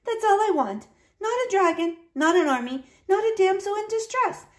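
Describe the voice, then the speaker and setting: A woman's voice: very high voice, big pitch swings, normal speed, reverberant, pitched above the performer's own voice. A woman voicing a small, earnest little niece who speaks plaintively in a children's storybook. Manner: plaintive, pleading, simple delivery.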